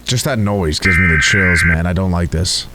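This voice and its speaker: A man's voice: low-pitched, normal speed, heavy background noise. Casual guy doing reaction commentary. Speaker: reaction video host. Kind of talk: casual reaction commentary, reading on-screen text aloud.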